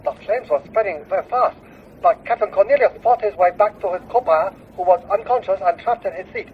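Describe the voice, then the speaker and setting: A man playing a shaken eyewitness on a taped news report in a radio drama, earnest and halting. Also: high-pitched, a little hiss or hum. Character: shaken male eyewitness recounting a crash to local news. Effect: played back from tape. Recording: tinny, lousy taped news recording.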